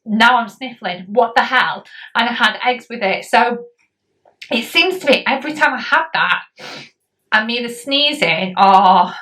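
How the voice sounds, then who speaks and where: Woman, 40s, rambling vlogger, bright tone, slightly distant mic. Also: fairly high pitch, unhurried. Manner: chatty, rambling, many ums and likes. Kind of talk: casual health update vlog.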